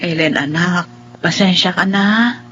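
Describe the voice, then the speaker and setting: A woman's voice: lowish voice, unhurried, a bit bright, breathy edge. A woman acting an ailing, loving middle-aged mother in a Filipino radio drama, speaking in a tender, apologetic, emotive way. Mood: gentle, apologetic. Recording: muffled lo-fi audio, music underneath.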